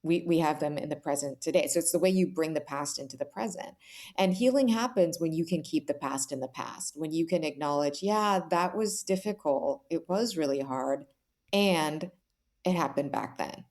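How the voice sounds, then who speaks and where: A woman's voice: deep voice, normal speed, a little mellow. A woman speaking as an author guest in an interview, conversational, earnest and reflective, often checking in with "right?".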